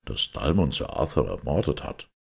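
A man plays a patient, grave priest detective in an audiobook. His voice is very deep and gravelly, and he speaks in a composed, unhurried way. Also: very animated, heavily acted.

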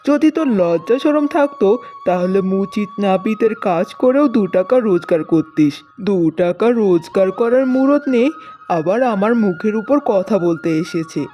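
A woman's voice: medium pitch, animated, normal speed, close to an everyday voice. Woman acting a scolding mother-in-law, music underneath. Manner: sharp-tongued, berating. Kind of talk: cartoon story voice acting.

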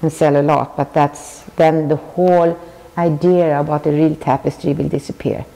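Older Swedish-accented woman, earnest and halting, low warm tone. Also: steady tone, vocal fry, long reverb tail, low-quality recording. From Sweden. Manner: thoughtful, earnest, halting restarts. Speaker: tapestry artist. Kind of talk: interview answers. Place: large weaving studio with looms.

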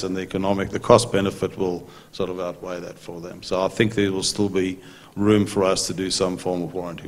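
A middle-aged man answers from a conference stage in a low, flat, measured voice. He holds a handheld mic, and a long reverb from the large hall trails each phrase. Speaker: maintenance company executive on a panel.

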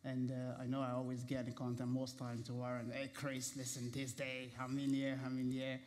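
A man's voice: mid-range voice, completely flat delivery, moderate pace, a little mellow. Upbeat man hosting a studio interview. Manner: laughs often, upbeat, restarts questions. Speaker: talk show host. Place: TV studio set with red sofas.

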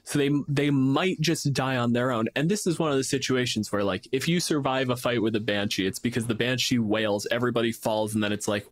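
A man's voice: mid-range voice, steady tone, fairly quick, hardly any pauses. A man co-hosting a casual gaming podcast as a game master, explaining his reasoning with acted-out examples. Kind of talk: casual tabletop gaming discussion.

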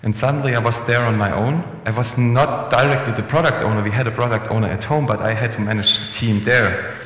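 A young man giving a relaxed, conversational conference talk with frequent restarts and fillers, speaking into a headset mic in a conference room. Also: mid-range voice, brisk. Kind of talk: conference presentation. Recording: echoey hall with long reverb.

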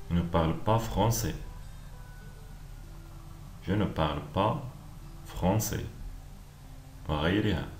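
Low-voiced man drilling phrases slowly. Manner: clear, deliberate pronunciation, repeats each phrase. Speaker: language teacher. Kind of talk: slow repeated phrase drills. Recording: echoey room with faint noise.

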